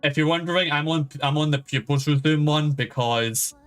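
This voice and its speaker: A man's voice: a little high, normal speed, fairly bright tone, clean tone. Sweary, rambling man with music behind. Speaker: game streamer. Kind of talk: casual stream chat. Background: background game music.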